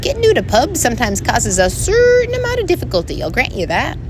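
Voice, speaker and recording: A woman's voice: high-pitched, huge pitch swings, a little fast, background noise, pitched above the performer's own voice. A woman voicing a tiny, brash male fairy clan leader with a Scottish accent and a bossy, boastful manner, in a storybook reading. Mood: cheerful. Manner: boastful, bossy, dialect-heavy talk.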